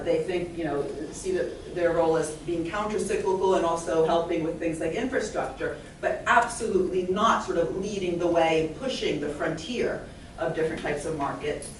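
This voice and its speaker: A middle-aged woman from Italy with an American accent, giving an animated, fluent talk as a panel speaker, with frequent "you know" fillers. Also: on the low side, slight background noise. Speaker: economics professor, panel speaker. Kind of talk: public talk at a panel event. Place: large echoey event hall. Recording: echoey hall from far off.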